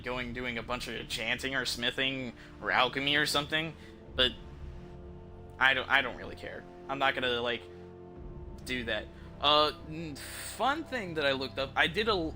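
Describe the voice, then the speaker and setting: A man's voice: medium pitch, pushed and bright. A man who streams games, giving casual, rambling commentary and thinking aloud in informal, mildly profane language. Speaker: game streamer.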